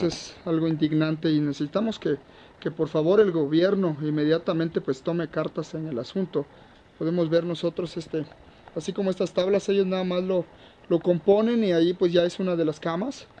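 A man's voice: high-pitched, mellow. A man reporting on a walking tour of a barn shelter, talking with many fillers and sounding indignant. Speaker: reporter touring a refugee shelter. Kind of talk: walking tour commentary and interview. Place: wooden cattle barn indoors.

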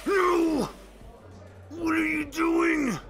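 An actor playing a furious, brutish shapeshifter gang boss in an audio drama, snarling and shouting with bluster. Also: male voice, very high-pitched, extremely slow, gravelly, soft background music, full character voice. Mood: panicked fury.

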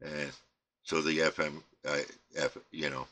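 A middle-aged American man giving a casual, unscripted show-and-tell, rambling and halting as he trails off. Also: extremely low pitch, level tone, pushed and bright. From United States. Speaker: CB radio hobbyist presenting his equipment. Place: indoor radio bench crowded with equipment. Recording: clear background noise, room ambience.

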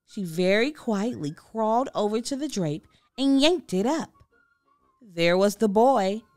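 A woman reading a children's book aloud with expressive, deliberate storytelling. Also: medium pitch, dry recording, soft background music. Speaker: storybook reader. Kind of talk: children's read-aloud narration.

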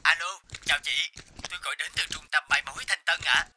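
A man's voice: on the high side, brisk, pushed and bright. A man voicing a polite, hesitant young matchmaking agent in a cartoon. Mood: apologetic, tactful. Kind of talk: cartoon voice acting. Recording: music behind the voice.

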